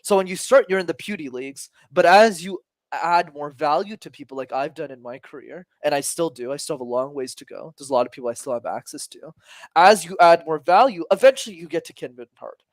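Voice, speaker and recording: A man in his twenties, a communication coach talking as a podcast guest, energetic and emphatic, casual with some swearing. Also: fairly high pitch, a little fast, no echo. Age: 20s.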